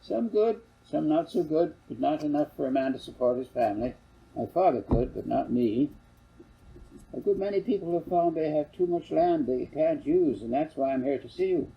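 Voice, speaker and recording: A man's voice: a little high, expressive, normal speed, vocal fry, lo-fi sound, pitched above the performer's own voice. A man voicing a plain-spoken, skeptical farmer father with a blunt, firm manner in a storybook. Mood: impatient, curious.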